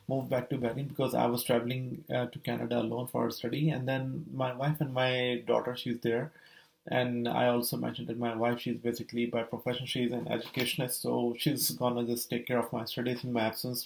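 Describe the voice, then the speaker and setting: A man's voice: mid-range voice, gravelly, a little mellow, poor audio. A young man, casually explaining his study permit process, rambling with many fillers and restarts. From Saudi Arabia. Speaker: vlogger sharing his study permit experience.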